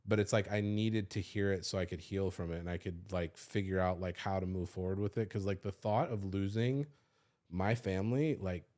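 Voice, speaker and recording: Man, 30s, lowish voice; confessional podcast host, casual, profane, filler-heavy. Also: steady tone, normal speed, darkish tone. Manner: loose and confessional, swears freely, many "like" fillers. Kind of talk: candid personal storytelling.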